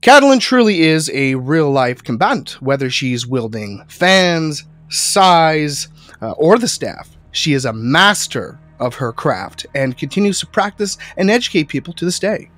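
A man's voice: fairly high pitch, sing-song, fairly bright tone. Congested young man with a headset. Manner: halting, with filler words. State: congested, recovering from illness. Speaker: podcast co-host. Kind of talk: podcast interview. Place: home studio. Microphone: headset microphone.

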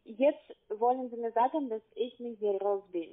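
Woman, foreign accent, call-centre caller, insistent, halting phrasing, thin telephone sound. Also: a little high, over a noisy crowd. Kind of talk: phone conversation. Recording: tinny narrow phone line. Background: phone call.

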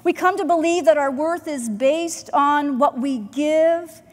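A middle-aged woman pastor preaches at a slow, unhurried pace, her voice sitting a little high. She speaks in a measured, earnest way with deliberate pauses, heard through a pulpit mic. The church sanctuary carries a long, lingering reverb. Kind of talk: sermon. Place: church sanctuary, in front of the chancel. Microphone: pulpit microphone, far camera.

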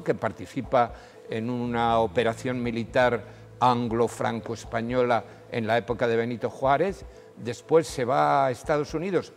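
Older man, gravelly voice, animated historian guest, reverberant studio, faint music. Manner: animated storytelling, rises in pitch when emphasizing. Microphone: lapel.

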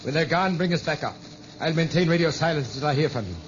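A man's voice: on the high side, expressive, quick pace, dull audio, lightly acted. Man acting resolute young rebel, noisy vintage radio. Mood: urgent. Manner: earnest, decisive, commanding. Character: resolute young underground rebel, scientist's son. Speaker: radio actor. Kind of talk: 1950s radio drama acting.

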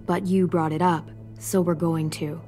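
A woman's voice: lowish voice, level tone, unhurried, dark tone, soft background music, close to an everyday voice. A woman playing a tough, protective teenage girl in a dramatic video game, firm and serious like a young parent.

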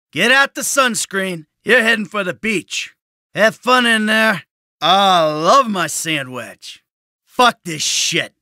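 Video game role: weary middle-aged American ex-crook, sarcastic and short-fused, forceful bright tone, unhurried delivery. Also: male voice, sits high, vocal fry, no room sound, clean studio sound, full character voice. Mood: frustrated. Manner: sarcastic, grumbling, foul-mouthed. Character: weary, sardonic, hot-tempered middle-aged retired male criminal. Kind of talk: acted video game voice lines.

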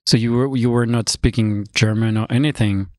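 Low-voiced man hosting a podcast. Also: steady tone, fairly quick, dark tone, no echo, studio quality. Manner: friendly, loose, many fillers. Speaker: podcast host. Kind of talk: casual interview conversation. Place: studio room with table. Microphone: studio mic with headphones.

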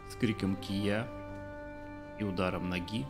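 A man's voice: lowish voice, fairly even intonation, a little slow. A man in his thirties streaming a game, joking with chat and reading the subtitles aloud. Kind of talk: casual let's play commentary. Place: small room at home. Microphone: desk mic, webcam framing. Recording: game music playing behind. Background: game music.

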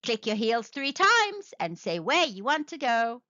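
A woman's voice: on the high side, very animated, unhurried, pushed and bright, no room sound, muffled recording, exaggerated voice. A woman voicing a kindly old male wizard in a storybook, reassuring and instructive.

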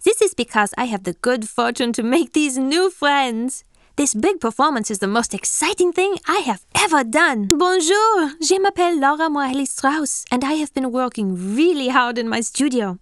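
Artistic teen girl, high voice, sweet enthusiasm, very dry recording, game character. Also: expressive, moderate pace, no echo. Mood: sweet, enthusiastic. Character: warm, artistic, cosmopolitan teenage girl painter. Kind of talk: scripted game character lines.

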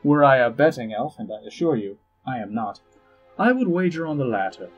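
A man playing a suave, haughty elf diplomat in a fantasy audio drama, with measured, arch, dryly witty delivery. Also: medium pitch, big pitch swings, husky, low-quality recording. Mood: dryly amused. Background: background music.

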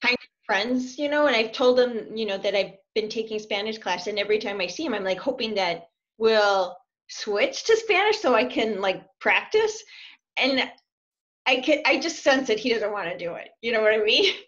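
A woman's voice: a little high, lively intonation, normal speed, fairly bright tone. Chatty woman on a lo-fi video call. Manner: chatty, laughs often, hesitant restarts. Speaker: language student. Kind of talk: casual interview over video call. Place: small room at home. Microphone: laptop webcam on video call. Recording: dull lo-fi video call audio.

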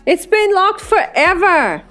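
A woman's voice: on the high side, big pitch swings, unhurried, a little mellow, faint music behind, pitched above the performer's own voice. A woman voicing a flustered woman in an audiobook, hesitant and pleading in manner. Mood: exasperated. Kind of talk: audiobook character dialogue.

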